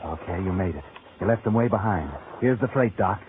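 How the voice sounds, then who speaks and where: A man's voice: low voice, a little fast, background noise, close to an everyday voice. An actor playing a sly, taunting narrator in a 1940s radio mystery, speaking in a sardonic, insinuating way as if he were a fugitive's own thoughts. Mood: urgent, coaxing. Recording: tinny vintage radio recording with loud music.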